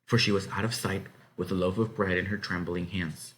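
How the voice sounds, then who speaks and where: A man reading a children's novel aloud as narrator, in an expressive, dramatic way. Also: deep voice, brisk. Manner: dramatic, animated. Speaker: story reader.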